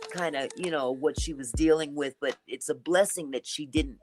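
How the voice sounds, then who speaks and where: A woman with a deep, raspy voice talking in a relaxed, chatty way as a guest in a casual conversation. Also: a little slow. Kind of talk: casual chat.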